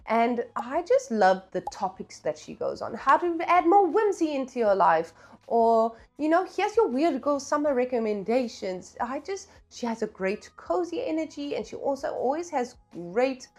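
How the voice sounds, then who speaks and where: A woman talking casually in a book vlog, chatty and playful, with slangy, self-deprecating asides. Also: sits high. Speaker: book vlogger.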